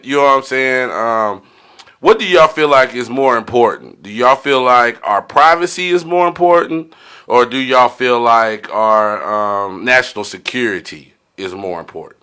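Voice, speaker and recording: Bright-voiced man hosting a casual podcast. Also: mid-range voice, normal speed, clean tone. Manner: slangy, prompting others with questions. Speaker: podcast host. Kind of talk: casual unfiltered podcast chat.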